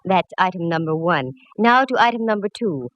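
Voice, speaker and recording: An actress playing a young female private eye who is wry, quick-witted and sardonic, heard on a muffled old radio broadcast. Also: on the low side, lively intonation, fairly bright tone, no echo. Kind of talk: 1940s radio acting.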